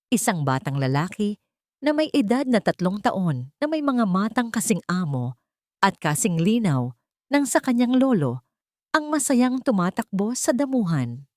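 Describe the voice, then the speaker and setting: Female Filipino-accented storyteller for dramatic scripted narration, lowish register, dry close sound. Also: a little mellow, no room sound.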